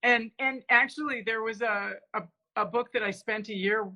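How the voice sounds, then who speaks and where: Halting, bright-voiced woman author. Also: fairly high pitch, lo-fi sound. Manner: hesitant, many fillers and restarts. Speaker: author guest. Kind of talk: casual interview talk.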